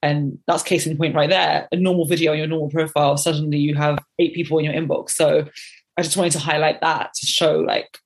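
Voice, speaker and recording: A woman's voice: low voice, level tone, fairly quick. A young adult woman hosting a podcast interview, encouraging and affirming her guest. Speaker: podcast host.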